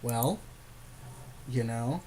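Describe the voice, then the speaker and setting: Mid-pitched man talking through game plays. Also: extremely slow, dark tone, low-quality recording. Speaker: podcast co-host playing a card game. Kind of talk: casual game commentary chat.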